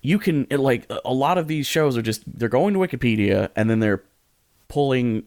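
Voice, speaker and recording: A man's voice: medium pitch, expressive, normal speed, a little mellow, no echo, studio quality. A man co-hosting a podcast, giving casual advice in a rambling, jokey way and often interrupting himself. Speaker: podcast co-host.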